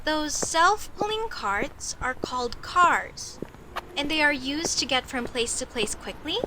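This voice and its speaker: A woman's voice: high voice, expressive, slow pace, background noise. A woman voicing a young elf mage girl in a comedic audio roleplay, speaking formally with a questioning, indignant and easily flustered manner. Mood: curious, earnest.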